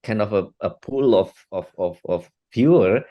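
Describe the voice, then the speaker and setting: A middle-aged man from Singapore, answering interview questions in a halting way, often restarting and repeating words. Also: fairly low pitch, muffled recording. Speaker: guest, art technologist and educator.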